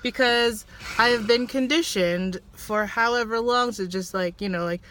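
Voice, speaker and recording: A woman co-hosting a casual film review chat, speaking haltingly with many fillers, often trailing off and restarting. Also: on the high side, a bit bright, a little hiss or hum. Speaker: vlog co-host reviewing a film.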